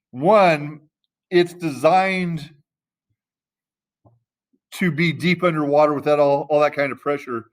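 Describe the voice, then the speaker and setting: Male teacher in a classroom, talks loosely and stops to find words, voice a bit on the high side. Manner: loose and conversational, searching for words mid-sentence. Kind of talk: casual classroom lesson.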